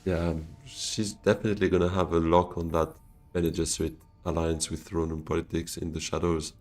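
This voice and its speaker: A man's voice: low-pitched, little pitch movement, a little fast, a little mellow, faint music behind. A Swiss man, streaming a board game live and thinking his moves through aloud to himself and his chat. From Switzerland. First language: French.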